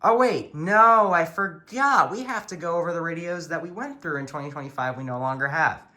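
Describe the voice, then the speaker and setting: Bright-voiced man, casual video presenter. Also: on the high side, a little fast. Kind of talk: casual collection walkthrough.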